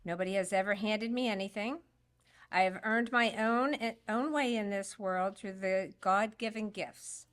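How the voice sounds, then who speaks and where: A woman reading a prepared statement aloud for an absent candidate at a public forum, in a steady, measured way with a few small slips. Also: mid-range voice.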